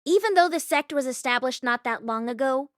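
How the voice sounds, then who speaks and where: A woman voicing an animated male storyteller who declaims local legends in an audiobook. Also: high-pitched, fairly quick, no echo, clean studio sound. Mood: declamatory, proud.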